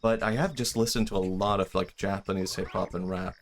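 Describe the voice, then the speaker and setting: A man's voice: low voice, fairly even intonation, brisk, a little hiss or hum. A young man from Sweden, a native Swedish speaker, chatting casually and rambling with self-deprecating laughs while streaming a game. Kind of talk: casual live-stream chat while gaming.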